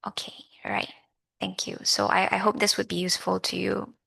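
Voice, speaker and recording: Malaysian woman, 30s, lay Buddhist speaker; creaky mid-pitched voice, conversational and halting. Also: sing-song, sharp tone, in a whisper. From Malaysia, grew up in Kuala Lumpur. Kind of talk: personal Dhamma talk to an audience.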